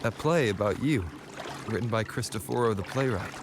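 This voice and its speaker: A man playing a kind young adventurer hero in a video game, calm and encouraging in manner. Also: lowish voice, moderate pace, very noisy, natural voice. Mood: light, teasing. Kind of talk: scripted video game voice acting. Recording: music under the voice.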